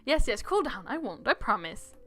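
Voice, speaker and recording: A woman reading as a brisk, animated young princess. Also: a little high. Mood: exasperated promise. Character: bold, bossy fairy-tale princess, protective of her companion. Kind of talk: reading visual novel dialogue aloud. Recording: music playing behind the voice.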